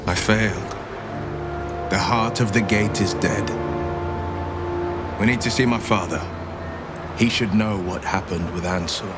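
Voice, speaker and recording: Solemn dutiful son, acted by a man, very low voice, grave game dialogue, loud music behind. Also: measured pace, pauses often, close to an everyday voice. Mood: downcast, solemn.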